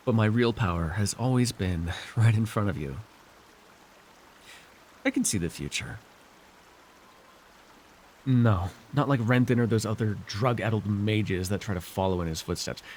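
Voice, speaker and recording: Man playing a low-voiced scheming sorcerer villain. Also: slight background noise. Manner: theatrical, condescending, with sighs and deliberate pauses. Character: scheming dark sorcerer ruler, smug, coercive, possessive male villain. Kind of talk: immersive ASMR fantasy roleplay acting.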